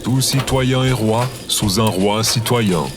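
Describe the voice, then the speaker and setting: An actor voicing a solemn sage companion who declaims maxims in a French audio drama. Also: male voice, fairly low pitch, takes it slowly. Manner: sententious, declamatory.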